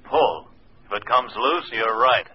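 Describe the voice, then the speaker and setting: An actor playing a brisk FBI handler in a 1950s radio drama, clipped and matter-of-fact. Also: male voice, mid-range voice, close to an everyday voice. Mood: firm, dry. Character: brisk, businesslike male FBI handler on telephone. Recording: muffled, faintly noisy old radio recording.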